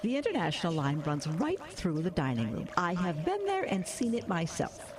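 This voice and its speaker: An actress playing a prim, insistent historian in a radio drama, formal and pedantic, with storm ambience behind. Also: female voice, on the low side, wildly swooping pitch, moderate pace, background noise. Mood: indignant. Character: prim, earnest female historian on a crusade.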